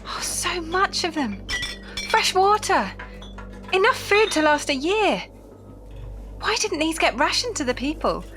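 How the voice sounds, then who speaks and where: A woman playing a resolute nurse in a Victorian zombie audio drama, earnest and dramatic, quick to take command. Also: extremely high pitch, big pitch swings, music in the background, heavily acted. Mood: indignant.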